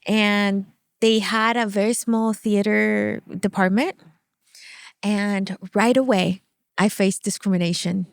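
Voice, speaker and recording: A woman's voice: medium pitch, slow, gravelly, no echo, studio quality. A middle-aged woman from Venezuela, a native Spanish speaker, telling her story animatedly as an interview guest. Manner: animated storytelling with frequent laughs. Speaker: guest, producer and CEO. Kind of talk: interview conversation.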